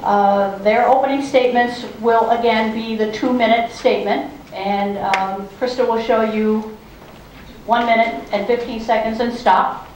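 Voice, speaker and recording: A woman's voice: fairly high pitch, steady tone, a little mellow. Hesitant moderator woman in a very echoey hall. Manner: halting, procedural, fills pauses with um and uh. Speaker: forum moderator. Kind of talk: announcing rules and speaking order. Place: community meeting room with an audience. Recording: very echoey hall, faint room noise.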